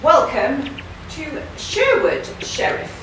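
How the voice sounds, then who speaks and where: A bold pantomime outlaw hero played by a woman, very high and swooping, cheeky and declamatory. The sound is very echoey, as from across a hall. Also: very animated, takes it slowly, heavily acted. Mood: cocky. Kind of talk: pantomime stage acting. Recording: very echoey hall, distant mic, faint noise.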